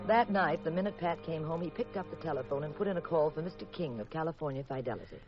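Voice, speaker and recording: An actress playing a detective's sharp, teasing wife in a 1950s radio mystery, with an arch, sardonic delivery. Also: female voice, deep voice, animated, quick pace, over music. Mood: wry narration. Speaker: lead actress and narrator. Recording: muffled vintage radio recording.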